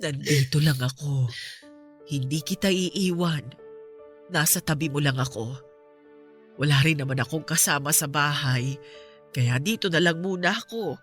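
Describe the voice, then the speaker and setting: A woman playing a loyal, comforting best friend in a Filipino radio drama, reassuring and steady, with a Filipino accent. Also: low-pitched, measured pace, pauses often. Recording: music behind the voice. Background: music.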